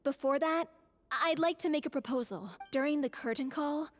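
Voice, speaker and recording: A woman's voice: high-pitched, normal speed, natural voice. A woman playing an earnest, composed young performer in a video game, speaking sincerely and with measure.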